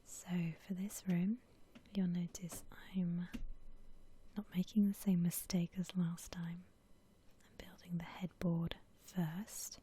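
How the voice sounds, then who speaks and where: A woman whispering gently and unhurriedly in a soft, breathy ASMR style, musing aloud as she comments on a game. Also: fairly low pitch, level tone, takes it slowly, a little hiss or hum. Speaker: host of an ASMR gaming channel.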